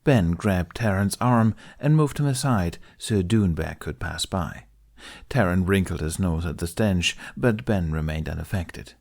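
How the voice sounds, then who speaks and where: A man's voice: low voice, animated, unhurried, creaky, darkish tone. A man reading a scripted story aloud as an audiobook narrator. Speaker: audiobook reader. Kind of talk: scripted narration of fan fiction.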